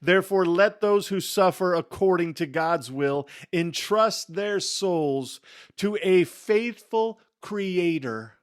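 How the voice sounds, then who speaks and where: Male scripture reader, higher-set voice, unhurried and solemn, theatrical emphasis, weighty pauses. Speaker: Bible reader. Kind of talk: dramatic scripture reading.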